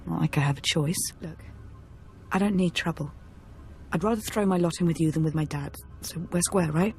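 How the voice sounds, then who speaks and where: An actress playing a flippant, spoiled young rich daughter in a video game, sassy and casual. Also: female voice, sits low, normal speed, darkish tone. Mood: nervous, resigned. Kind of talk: video game dialogue acting.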